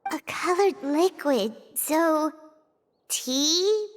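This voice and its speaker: A woman playing a tiny, excitable, childlike fairy companion in a video game, squeaky and eagerly inquisitive. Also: very slow, full character voice. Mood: eager, curious.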